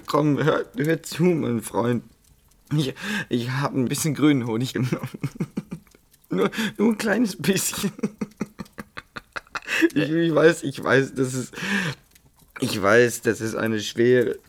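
Giddy, intoxicated, stammering singer. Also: male voice, mid-range voice, slow pace, long pauses. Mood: giggly, agitated. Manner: stammering, repeats words, breaks into giggles. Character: young intoxicated singer, giggly, confiding, enraptured storyteller. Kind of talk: German radio play acting.

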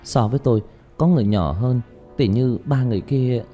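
A man's voice: mid-range voice, brisk, dark tone, soft background music, natural voice. A man voicing a polite, hesitant young female singer in an audiobook story, with an earnest manner. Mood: apologetic, explaining. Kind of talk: audiobook character dialogue.

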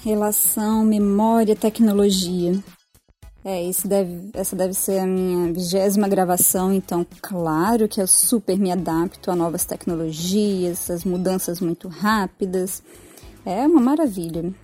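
A woman's voice: medium pitch, steady tone, unhurried, mellow, soft background music. A Brazilian woman giving an informal spoken reflection, hesitant and self-deprecating as she goes. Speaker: podcast commentator.

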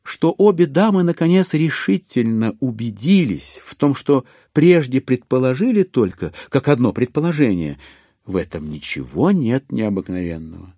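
An actor as the wry narrator of a classic novel in an audio drama, speaking with ironic relish and deliberate, expressive phrasing. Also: male voice, medium pitch, no room sound, studio quality.

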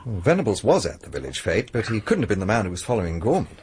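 Faint noise sits behind an educated British man's voice, swinging widely in pitch as a worried amateur investigator. He is articulate, earnest and persistent, in the manner of a radio play. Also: big pitch swings, fast, darkish tone. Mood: intent, persistent. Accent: British English. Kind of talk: radio drama acting.

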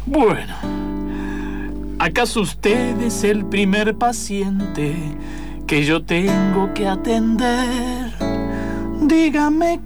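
A woman playing a calm, solemn psychologist who sometimes half-sings, in an improvised comic radio play. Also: mid-range voice. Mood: crooning, reassuring. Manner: slow, deliberate, probing, sometimes half-singing. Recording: loud guitar music under the voice.

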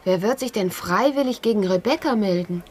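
An actress playing a spirited, mocking gentlewoman in a radio drama, teasing and sardonic. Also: mid-range voice, animated, a little fast, lightly acted. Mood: teasing mockery. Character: spirited, mocking young gentlewoman with a sharp tongue.

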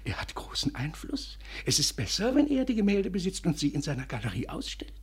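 A man playing an effusive, flattering Parisian café owner in a 1950s German radio drama, with a husky voice and a gushing, theatrical manner. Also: sits high, brisk, exaggerated voice. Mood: persuasive.

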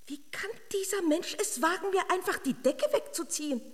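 A woman's voice: very high-pitched, expressive, moderate pace. A woman playing a haughty, easily offended fairy-tale princess in a children's radio drama, theatrical and pompous. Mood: indignant.

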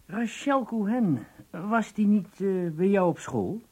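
Lively, husky, jovial businessman. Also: high-pitched, takes it slowly, exaggerated voice. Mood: casual, admiring. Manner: hesitant, jovial, conversational. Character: jovial, chatty travelling businessman. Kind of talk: radio drama dialogue.

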